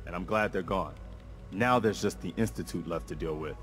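An actor with an American accent playing an earnest, resolute, principled militia soldier in a video game, speaking firmly. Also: male voice, deep voice, moderate pace, a bit bright, faint music behind. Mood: reflective. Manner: earnest, firm. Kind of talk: video game dialogue acting.

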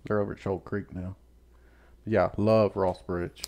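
A man's voice: sits low, level tone, measured pace, gravelly, darkish tone. A man hosting a casual podcast chat in an easygoing, teasing way. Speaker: podcast host. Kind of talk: casual chat about golf.

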